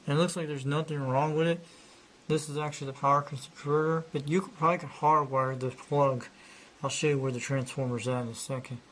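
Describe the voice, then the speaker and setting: Guy rambling about his old radio, bit high voice, little hiss behind. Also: fairly bright tone, rough recording. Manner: rambling, repeats himself, loose grammar. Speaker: hobbyist showing a radio. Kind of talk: casual show-and-tell narration.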